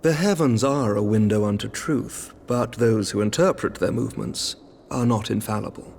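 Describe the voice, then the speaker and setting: An actor playing a shrewd, composed middle-aged knight commander who speaks formally and measuredly in archaic diction. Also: male voice, lowish voice, moderate pace, vocal fry, a little mellow, a little hiss or hum. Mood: calm, diplomatic. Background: orchestral game score.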